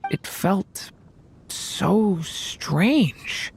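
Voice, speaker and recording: Gentle, hesitant youth. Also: male voice, sits high, expressive, drawn-out words, creaky, a little hiss or hum, full character voice. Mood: troubled. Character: gentle, earnest, unsure youth. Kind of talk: video game voice acting.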